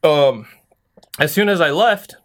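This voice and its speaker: A man's voice: a little high, expressive, slow. A young man co-hosting a podcast, telling a story in a loose, joking way and laughing often. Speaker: podcast co-host. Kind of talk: casual podcast chat.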